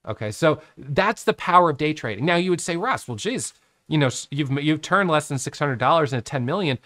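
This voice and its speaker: A man in his late thirties giving a casual whiteboard lesson on trading from his home office, talking with his hands into a boom-arm studio mic. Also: no room sound. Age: 30s. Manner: animated, talks with his hands, drawing on a whiteboard. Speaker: trading educator. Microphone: studio microphone on a boom arm.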